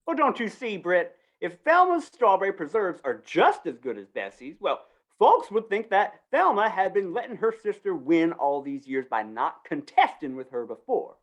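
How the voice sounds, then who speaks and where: A slippery fair organizer from a western radio play , played by a woman. The pitch leaps about dramatically as the character coaxes and falsely reassures in a folksy, wheedling way. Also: big pitch swings, moderate pace. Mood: insistent. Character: wheedling, slippery male small-town fair organizer, fast talker. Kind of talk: old-time western radio drama acting.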